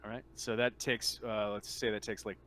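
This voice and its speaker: A man improvising narration as a tabletop game master, halting often and padding with "like". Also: lowish voice, level tone, fairly bright tone. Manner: halting, filler-heavy "like". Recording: noticeable background noise on mic.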